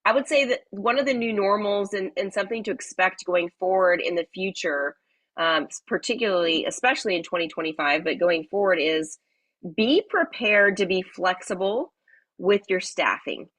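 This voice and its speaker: A woman hosting a live webinar, talking in a friendly, chatty way with many fillers, slightly raspy from a cold. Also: mid-range voice, lively intonation, normal speed, fairly bright tone, no echo. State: hoarse from a cold. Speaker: webinar host.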